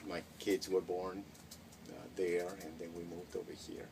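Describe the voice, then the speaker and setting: Low-voiced man with hesitant speech. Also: completely flat delivery, normal speed. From Mexico. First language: Spanish. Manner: reflective, many hesitations and restarts. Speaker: interviewee. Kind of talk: casual interview. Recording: distant mic with faint noise.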